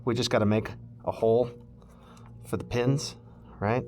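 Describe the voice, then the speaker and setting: A man's voice: medium pitch, fairly even intonation, measured pace, darkish tone. A man casually walking viewers through a hands-on DIY project, thinking aloud in an informal way as he goes. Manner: informal, thinking aloud while handling parts. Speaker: host of a DIY electronics project video.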